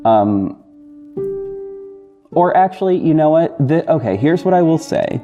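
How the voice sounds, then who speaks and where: A man hosting a podcast, talking casually and apologetically to listeners in a halting, self-correcting, rambling way. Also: a little high, animated. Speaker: podcast host and audio drama creator. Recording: dull lo-fi recording with music bed. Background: soft guitar backing music.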